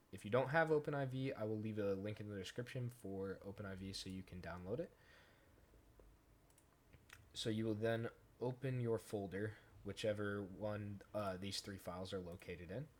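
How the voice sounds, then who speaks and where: Male tutorial host, lower-pitched voice, informal step-by-step walkthrough, faint background noise. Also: moderate pace. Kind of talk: casual screen-recorded software tutorial narration.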